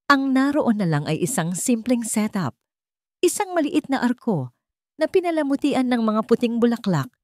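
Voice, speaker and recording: A woman's voice: mid-range voice, no echo, clean studio sound. A woman reading a scripted romance story as a dramatic narrator.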